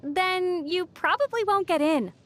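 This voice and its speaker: A woman playing a young female traveler in a video game, gently teasing and reassuring, with a playful, dry manner. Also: extremely high pitch, lively intonation, measured pace, heavily acted. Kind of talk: video game character dialogue.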